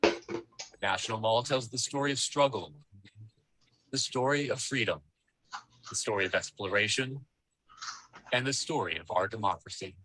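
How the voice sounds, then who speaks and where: A man reading scripted documentary narration in a solemn, measured and formal way. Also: lowish voice, bright, forceful tone, a little hiss or hum, poor audio.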